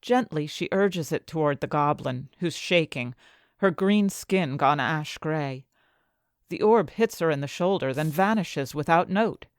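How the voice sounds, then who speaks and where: A woman playing an ambitious, conflicted scientist who narrates her own story with dramatic, deliberate phrasing. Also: sits low, lively intonation, no echo, studio quality. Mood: tense narration. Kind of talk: first-person audio fiction narration.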